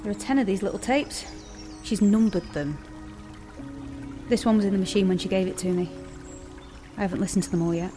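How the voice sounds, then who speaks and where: A young British actress playing an anxious, stubborn woman with an urgent, halting manner in audio drama dialogue. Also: medium pitch, warm tone, faint music behind, close to an everyday voice. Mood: explaining, earnest.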